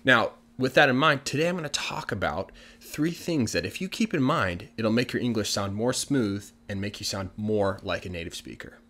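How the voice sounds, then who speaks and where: Lively man teaching English. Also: fairly low pitch. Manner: animated, slows down to model example phrases. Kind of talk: casual video lesson. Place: living room with gray sofa, indoors. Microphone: large studio mic on arm in front.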